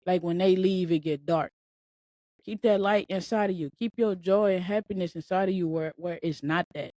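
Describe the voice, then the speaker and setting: Woman, late twenties, podcast host, mellow warm voice, dry recording, casual emphatic motivational talk. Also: fairly low pitch, moderate pace, no echo. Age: 20s. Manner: casual, repetitive, emphatic, preachy.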